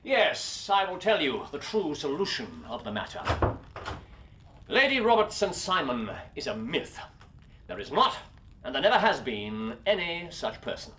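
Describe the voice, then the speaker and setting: An actor playing a brilliant, self-assured Victorian detective, with a British accent and precise, wry, theatrical diction. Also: male voice, a little high, big pitch swings, normal speed, sharp tone, slight background noise. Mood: coolly confident. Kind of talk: period mystery drama acting.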